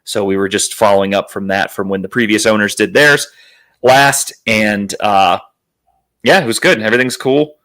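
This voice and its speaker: A man hosting a podcast in casual chat, telling rambling, profane stories in a slightly raspy, worn, phlegmy voice. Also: on the low side, fairly even intonation, moderate pace, fairly bright tone. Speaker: podcast host.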